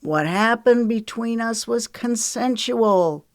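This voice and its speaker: Woman voicing a slow, smug, menacing man. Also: lowish voice, lively intonation, creaky, no room sound, clean studio sound, full character voice. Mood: smug, dismissive. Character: smug, menacing predatory man with Southern folksy talk.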